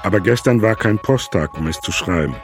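A man's voice: lowish voice, lively intonation, a little fast, faint music behind. An actor playing a 19th-century businessman who reports news in a letter, matter-of-fact, for an audio documentary.

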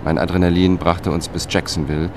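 A man's voice: low voice, level tone, a little fast, background noise. A man playing the sober first-person narrator of a crime thriller audio drama. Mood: matter-of-fact. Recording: music bed under the voice.

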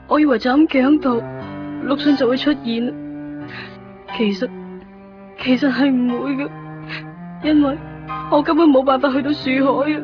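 Actress as a high-voiced, remorseful young woman. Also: natural voice. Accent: Hong Kong Cantonese. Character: remorseful, lovesick young woman stuck waiting. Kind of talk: radio drama monologue. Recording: muffled radio drama recording, music.

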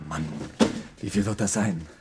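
A man's voice: deep voice, moderate pace, natural voice. A man playing a nervous burglar accomplice with a troubled conscience in a radio crime drama, anxious, hesitant and protesting. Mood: tense. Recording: noisy vintage radio play recording.

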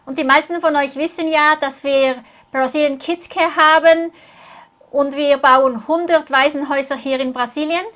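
A woman's voice: sits high, steady tone, normal speed, a bit bright. A woman interpreting a sermon phrase by phrase, speaking earnestly and closely following the preacher.

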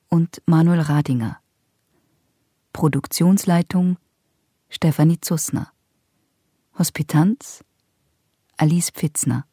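A woman's voice: lowish voice, warm tone, husky, no room sound, clean studio sound. A woman formally reading out the credits of a radio play as an announcer.

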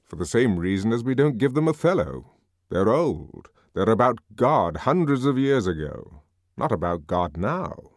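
A man's voice: fairly low pitch, very animated, measured pace, no echo, pitched above the performer's own voice. A man voicing an urbane, wry male controller in an audiobook, lecturing genially with dry, teasing authority. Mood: matter-of-fact.

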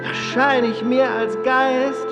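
A woman performing solemn philosophical verse in a radio play, partly sung, with drawn-out poetic phrasing. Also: high-pitched, steady tone. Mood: solemn, melancholy. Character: solemn female singer of philosophical verse on transience. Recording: loud music under the voice.

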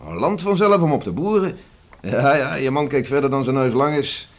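A man's voice: medium pitch, lively intonation, moderate pace, lightly acted. A man playing a cheerful, coaxing island farmer and father in a radio drama, folksy and reassuring. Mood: coaxing, upbeat. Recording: noisy old radio play recording.

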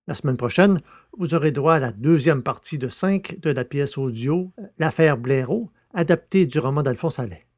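A man's voice: a little high, normal speed. A man hosting a podcast and narrating audiobooks in a scripted presenting style.

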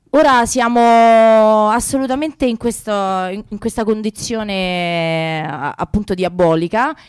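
Clear-voiced woman hosting casual radio. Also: medium pitch, a little mellow, no room sound, studio quality. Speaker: radio show host.

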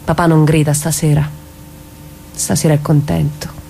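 A woman's voice: deep voice, little pitch movement, normal speed, creaky, warm tone, slight background noise, poor audio. A woman playing a dreamy, tender young woman in an intimate, naturalistic radio drama reading. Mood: tender, soothing. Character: Lea, dreamy, tender young southern Italian woman, sister and mother.

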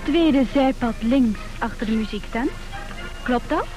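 A woman's voice: on the high side, a little slow, over music, close to an everyday voice. An actress playing an earnest nineteen-year-old girl from a good family in a radio play, precise and matter-of-fact. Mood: shy, curious.

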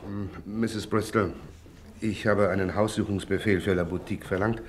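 Man acting a low-voiced police inspector, noisy background. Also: steady tone, moderate pace, close to an everyday voice. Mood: courteous. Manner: official, polite, giving clipped orders. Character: brisk, courteous male police inspector. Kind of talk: German radio play acting.

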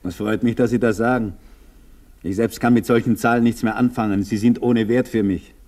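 A man's voice: fairly low pitch, fairly even intonation, a little fast, mellow, close to an everyday voice. Man playing reserved, disillusioned dam worker. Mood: resigned, polite. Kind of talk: radio drama acting.

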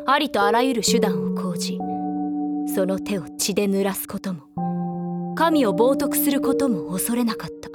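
A woman's voice: medium pitch, lively intonation, moderate pace, loud music behind the voice, natural voice. A woman narrating a drama in a grave, ominous tone.

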